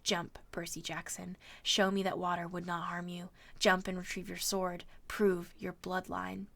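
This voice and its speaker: Villainess for a storybook: woman performer as sinister serpent mother, hissing and breathy, slow, mocking delivery. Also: fairly low pitch, completely flat delivery, a bit bright. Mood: taunting. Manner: slow, taunting. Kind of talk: audiobook-style storybook reading.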